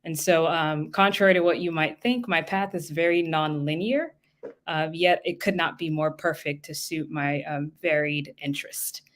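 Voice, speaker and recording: Lower-voiced woman giving a talk. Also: fairly even intonation, fairly bright tone. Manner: articulate, measured, frequent ums. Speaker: guest speaker. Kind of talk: lightning talk presentation.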